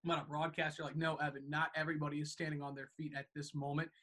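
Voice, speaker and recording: A man's voice: a little high, little pitch movement, rapid-fire, pushed and bright. Chatty young man, rambling with fillers. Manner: rambling, many fillers and restarts. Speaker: baseball broadcaster, co-host. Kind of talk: casual podcast chat.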